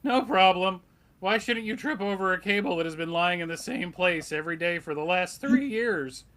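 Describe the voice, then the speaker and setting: Man as a jittery, high-pitched, exasperated news producer. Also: normal speed, a bit bright. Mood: sarcastic, exasperated. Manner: nagging, sarcastic. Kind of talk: dramatic comic book table read.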